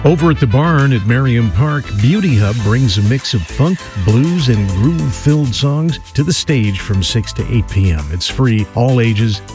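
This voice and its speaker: A man reading a scripted events calendar on the radio in a steady promo-style delivery. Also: mid-range voice, normal speed, hardly any pauses. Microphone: studio microphone. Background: music bed under the voice.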